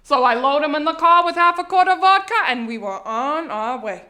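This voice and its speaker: A woman acts out a middle-aged Long Island housewife in a comic theatrical monologue. Her voice is high, and she confides in a nervous, candid, rambling way with hesitant asides. The room around her is echoey. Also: expressive, normal speed, bright, forceful tone. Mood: confiding.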